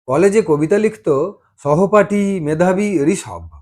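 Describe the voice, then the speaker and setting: A man's voice: high voice, slow, a little mellow. A man narrating a love story as a dramatised audiobook reading, telling it expressively.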